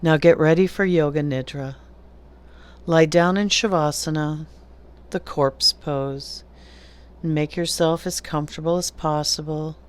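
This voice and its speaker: A woman teaching yoga nidra, giving calm, deliberate guided meditation instructions with long pauses between phrases. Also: low-pitched, smooth.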